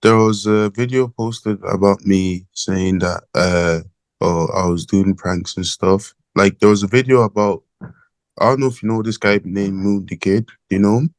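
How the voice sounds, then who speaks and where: A teenage man from Ireland with an Irish accent, calling in as an interview guest over the phone and talking in a hesitant, halting way with many fillers. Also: sits low, steady tone, no room sound. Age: teens. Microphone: phone call.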